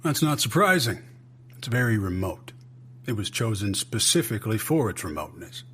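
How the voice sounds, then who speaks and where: A man's voice: lowish voice, sing-song, normal speed, a bit bright, husky, heavily acted. An actor playing a weary, skeptical male intelligence chief in an audio drama, clipped and sardonic with heavy sighs. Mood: dry, sardonic. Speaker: senior agency official.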